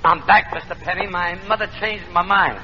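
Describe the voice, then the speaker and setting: A man's voice: high voice, fairly even intonation, normal speed, lightly acted. A man playing a naive, silly mother-ruled young fellow in old-time radio comedy. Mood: naive, pleased. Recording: noisy, muffled vintage radio recording.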